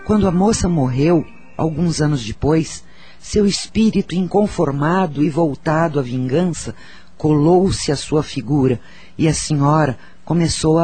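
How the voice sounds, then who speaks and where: An actor playing a solemn, wise spiritual counsellor in a radio soap, preaching and storytelling in a measured way with a Brazilian accent. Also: male voice, fairly high pitch, a little mellow, husky.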